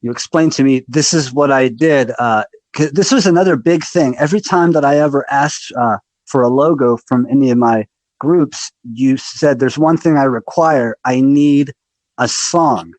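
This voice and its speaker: An enthusiastic man hosting a podcast, eager and full of agreement, in a mid-pitched voice. He tells stories in a friendly, rambling way. The recording is dry and close. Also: moderate pace, a little mellow, no echo, rough recording. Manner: enthusiastic, affirming interjections. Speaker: podcast host, musician. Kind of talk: podcast interview.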